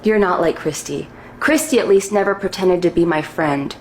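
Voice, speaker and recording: A woman's voice: lowish voice, normal speed, darkish tone, slight background noise, natural voice. A woman voicing a hurt female salon coworker who speaks in a cold, cutting way.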